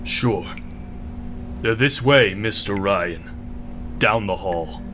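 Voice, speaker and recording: An actor playing a gruff, plain-spoken police chief in a radio drama, deferential and a little hesitant, with colloquial phrasing. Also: male voice, fairly low pitch, extremely slow. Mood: matter-of-fact. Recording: steady background noise over voice.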